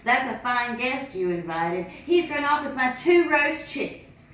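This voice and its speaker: A woman's voice: high voice, animated, measured pace, fairly bright tone. A woman voicing a sassy young female servant who loves dancing, talking brash and cheeky in a storybook reading. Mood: indignant. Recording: echoey room, distant mic.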